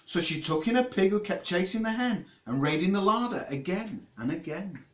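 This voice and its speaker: A man with a British accent reading a children's story in an expressive, playful, theatrical way and chatting casually. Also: high-pitched, normal speed, highs cut off. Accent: British English. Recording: echoey room, distant microphone.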